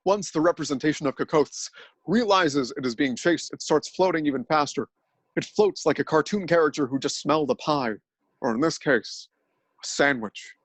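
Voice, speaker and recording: Deadpan man narrating a dramatic reading. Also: fairly high pitch, moderate pace, no room sound. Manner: earnest, deadpan telling of absurd events.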